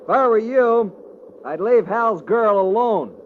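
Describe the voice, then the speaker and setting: A quiet, watchful American cowhand with a notably high voice. He is terse and understated, in old radio drama style. The sound is thin as a telephone, with clear noise. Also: expressive, measured pace. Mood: calm, guarded. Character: laconic, calm, watchful Western cowhand man. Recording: phone-like, noisy vintage radio recording.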